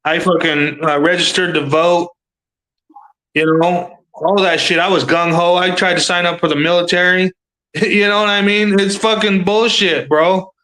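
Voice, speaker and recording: A man's voice: sits high, fairly even intonation, moderate pace, pushed and bright, poor audio. A man in his thirties hosting a livestream panel, rambling in casual chat and swearing freely.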